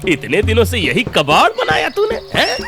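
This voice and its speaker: A man's voice: very high-pitched, wildly swooping pitch, moderate pace, over music, full character voice. A man voicing a grumbling, greedy, hot-tempered village uncle who mutters and taunts in a cartoon story. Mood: angry, threatening. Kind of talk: Hindi cartoon story acting.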